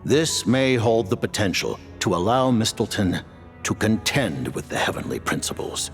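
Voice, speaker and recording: Stern, formal commander; very low and gravelly, wide swings, soft score behind. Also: male voice, sing-song, moderate pace. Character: stern, formal highest-ranking male commander. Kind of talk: video game voice acting. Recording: game audio with music.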